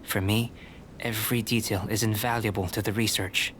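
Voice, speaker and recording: Calm, cerebral young alchemist played by a man. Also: on the low side, slight background noise, lightly acted. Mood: calm, instructive. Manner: measured, articulate, detached, politely scholarly. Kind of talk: video game voice acting.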